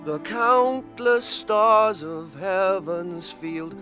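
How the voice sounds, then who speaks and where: An actor in a radio drama playing a plain-spoken, loyal hobbit servant with a homely, blunt manner. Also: male voice, high voice, lively intonation, very slow, pushed and bright, faint music behind, heavily acted. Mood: solemn reciting.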